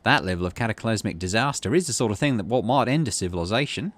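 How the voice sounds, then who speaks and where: A man's voice: fairly low pitch, animated, quick pace, a bit bright. A man voicing a friendly, matter-of-fact woman geologist in a video game, explaining things in a folksy way. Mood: skeptical.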